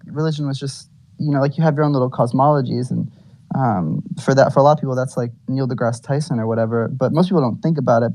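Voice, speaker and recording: A man in a casual online group chat talks haltingly, leaning on "um" and "you know" at every turn. His voice sits at an ordinary pitch and sounds dry, with no room echo. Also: a little mellow. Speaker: participant. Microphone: online audio chat.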